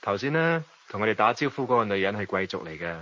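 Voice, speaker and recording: An actor playing a suave, worldly gentleman narrating and talking in a radio drama, with a Hong Kong Cantonese accent. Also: fairly low pitch, sing-song, quick pace. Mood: amused, storytelling. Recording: dull lo-fi audio, noisy background.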